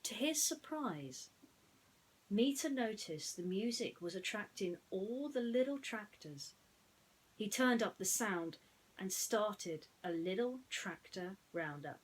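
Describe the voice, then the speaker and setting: A woman storyteller narrating a bedtime story, gently explaining things as if to young listeners. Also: medium pitch, animated, slow pace, breathy edge, poor audio.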